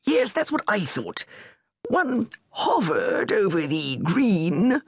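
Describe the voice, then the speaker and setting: Woman narrator doing a fussy upper-class older man, plummy and refined, with an animated pitch. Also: slow, slightly rough, dry recording, studio quality, exaggerated voice. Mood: genteel. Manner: plummy, mildly tetchy.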